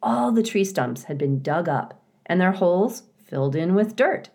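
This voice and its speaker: A woman narrating a children's novel aloud as an expressive storybook reader. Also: lowish voice, moderate pace, creaky.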